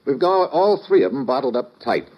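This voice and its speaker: An actor playing a shrewd, terse American secret agent in 1950s radio drama style, with clipped, musing delivery. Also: male voice, medium pitch, sing-song, normal speed. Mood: pondering. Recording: muffled vintage 1950s radio recording.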